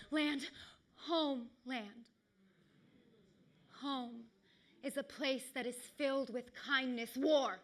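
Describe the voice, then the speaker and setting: Woman, high-pitched, bright intense tone, theatrical spoken-word monologue, poetic phrasing, emphatic repetition. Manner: theatrical, swinging from hushed to forceful. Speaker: actor and writer performing a self-written monologue.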